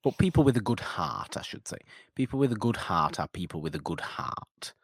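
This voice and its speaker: A man with a very low, deep voice talks loosely about a classic movie, circling back and restarting his sentences. The sound is close and dry, with no room echo. He drifts between points in casual podcast chat. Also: normal speed, creaky, slightly rough. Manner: rambling, animated, self-interrupting. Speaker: podcast co-host. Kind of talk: casual film discussion.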